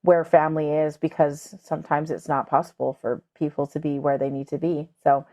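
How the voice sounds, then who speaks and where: A woman's voice: deep voice, level tone, brisk, warm tone. A woman hosting a podcast, asking interview questions and affirming her guest. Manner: encouraging, affirming. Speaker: podcast host.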